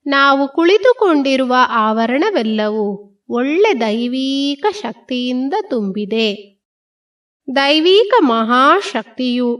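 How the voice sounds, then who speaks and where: A woman leading a guided meditation in slow, calm, repetitive phrases with pauses between them. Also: on the high side.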